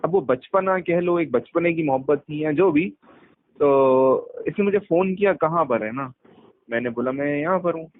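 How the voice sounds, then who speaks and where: A man's voice: fairly high pitch, moderate pace. A young man chatting casually and telling stories in slangy speech, quoting people as he goes, recorded over a phone call. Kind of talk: casual chat, telling anecdotes from youth. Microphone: phone line.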